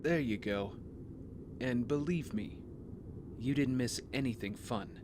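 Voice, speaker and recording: A man playing a tender, protective young vigilante in an audio drama, speaking gently and with concern. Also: mid-range voice, takes it slowly, lightly acted. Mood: gentle, teasing. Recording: clear background noise and ambience.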